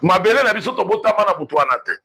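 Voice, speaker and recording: Animated high-pitched Congolese man, husky voice. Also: very fast. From Democratic Republic of the Congo. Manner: emphatic, repeats words, asks rhetorical questions. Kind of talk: impassioned political argument, mixing languages.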